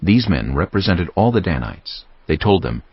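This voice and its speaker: A man narrating a dramatized audio Bible in a solemn, measured storytelling manner. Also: sits low, sing-song, husky, no echo. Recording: slightly dull sound, faint music.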